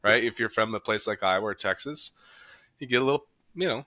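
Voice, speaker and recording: American guy hosting a podcast, really bright punchy voice on the low side, folksy and rambly chat. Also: fairly quick, no room sound. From South Dakota, US. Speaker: podcast host. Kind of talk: casual chat.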